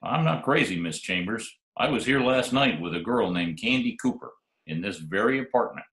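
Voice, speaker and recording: An actor playing a wisecracking, sardonic private detective who also narrates, in a 1940s-style radio drama. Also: male voice, lowish voice, lively intonation, moderate pace, a bit bright. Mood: insistent. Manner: dry, sardonic. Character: wisecracking hard-boiled private detective, narrating his case. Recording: roomy remote home recording, faint music.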